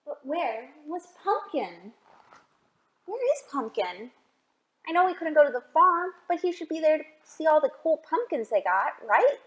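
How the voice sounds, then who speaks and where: Slow, high-voiced woman reading to children. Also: roomy sound, rough recording. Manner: expressive, asks the children questions. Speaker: library storytime reader. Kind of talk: children's picture-book read-aloud with asides.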